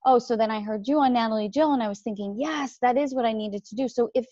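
A woman as a podcast guest, talking about her faith in a lively way and jumping up in pitch when she quotes others. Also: fast, no echo, dull audio. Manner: animated, jumps pitch when quoting others. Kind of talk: faith-based podcast conversation.

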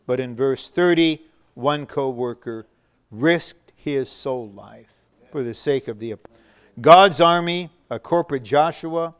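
A man preaching a sermon, measured and emphatic, with bursts of shouting. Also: medium pitch, clean tone.

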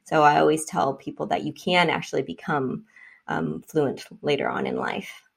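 A woman from Vietnam speaking as an interview guest, reflective and measured, often pausing with fillers. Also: low-pitched, vocal fry, slightly rough. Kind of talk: conversational interview.

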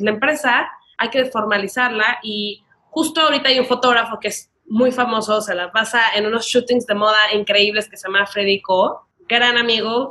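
A woman's voice: a little high, pushed and bright. A woman in her early thirties with a Mexican accent, telling her story casually and animatedly as a podcast guest, often acting out bits of dialogue. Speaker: podcast guest, wedding planner.

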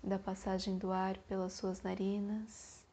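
A Brazilian woman guiding a meditation in a soothing way, in short phrases separated by long pauses. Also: mid-range voice, little pitch movement, warm tone, slightly rough. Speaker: meditation guide. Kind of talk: guided meditation.

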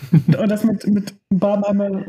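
A man's voice: high voice, fairly even intonation, a little slow, warm tone, slightly rough. A young adult man co-hosting a podcast, chatting casually about films, rambling, joking and often trailing off mid-sentence. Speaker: podcast co-host. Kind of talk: casual film-discussion chat.

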